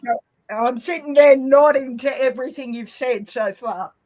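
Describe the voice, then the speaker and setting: A woman's voice: sits high, darkish tone, poor audio. Bantering Australian woman co-host. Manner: bantering, quick comebacks. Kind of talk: casual chat.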